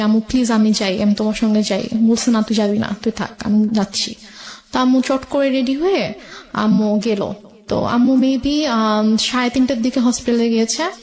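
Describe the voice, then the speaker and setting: A woman's voice: on the high side, darkish tone. A woman with a Bangladeshi accent, a guest recounting her own life story in conversation.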